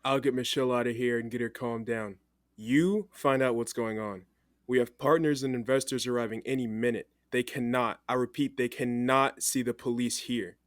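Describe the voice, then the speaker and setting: Male actor, upbeat brash executive, medium pitch, casual slangy talk, dry sound, audio drama. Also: fairly even intonation, moderate pace, no echo, close to an everyday voice. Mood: businesslike, urgent.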